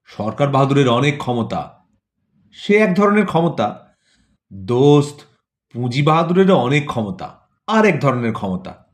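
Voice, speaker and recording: A man tells a scripted satirical story. His delivery is rhetorical and measured, built on repeated phrases and short lists. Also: mid-range voice, big pitch swings. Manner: rhetorical, measured phrasing with repetition and listed words. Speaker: narrator of an audio play.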